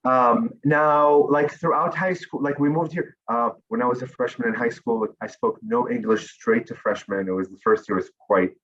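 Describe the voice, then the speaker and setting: A man's voice: medium pitch, normal speed, hardly any pauses, fairly bright tone, muffled recording. A man from Lebanon, a guest telling personal stories in a loose, rambling way full of fillers and restarts. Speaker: interview guest. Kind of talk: casual storytelling.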